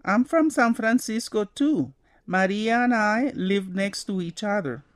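Quiet teen girl, acted by a woman, unhurried and deliberate. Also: mid-range voice, no echo, natural voice. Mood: calm. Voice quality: slow, careful reading. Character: quiet teenage schoolgirl, sixteen.

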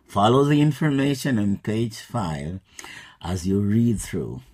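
Slow, patient older man teacher. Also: fairly low pitch, lively intonation, a little mellow. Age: 60s. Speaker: English teacher. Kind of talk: scripted radio English lesson.

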